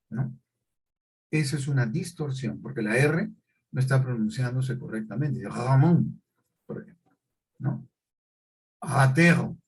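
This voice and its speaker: A man's voice: mid-range voice, darkish tone, husky, rough recording. A middle-aged male teacher, lecturing a class and often checking in with questions.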